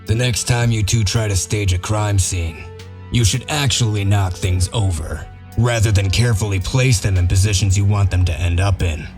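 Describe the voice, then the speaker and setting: A man playing a gruff, shrewd wolf detective in a comic dub, explaining things in a methodical, sardonic way. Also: lowish voice, steady tone, moderate pace, a little mellow, soft background music, natural voice.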